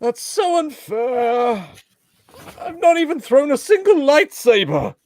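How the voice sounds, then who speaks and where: Theatrical, high-voiced alien Sith villain played by a man. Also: sing-song, slow, a little mellow, no room sound, heavily acted. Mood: petulant. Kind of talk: comedic live table read of a sci-fi script.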